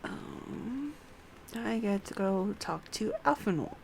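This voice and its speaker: A woman's voice: mid-range voice, expressive, takes it slowly, gravelly, a little mellow, slight background noise. A woman streaming a game casually, chatting to herself as she plays. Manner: chatty, talks to herself while playing. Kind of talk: casual livestream play, reading quest dialogue aloud.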